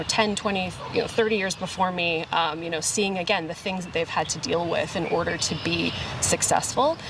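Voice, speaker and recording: Brief: Canadian woman guest, low-ish voice, reflective and earnest, a bit off-mic with outdoor noise. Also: vocal fry, distant mic. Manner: reflective, earnest, many fillers. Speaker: tournament director, guest. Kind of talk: casual interview chat.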